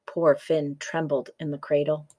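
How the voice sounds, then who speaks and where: A woman's voice: sits low, little pitch movement, a little slow, mellow. A woman reading a children's folktale aloud as scripted storybook narration. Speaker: story reader.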